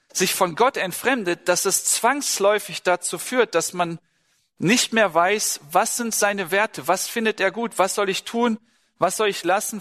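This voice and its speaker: A male preacher with a slightly high voice speaks to a congregation in a large, echoing hall. His sermon is conversational and turns often to questions aimed straight at the listeners. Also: a bit bright. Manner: conversational, asks listeners questions, acts out short dialogues. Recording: large hall with long reverb.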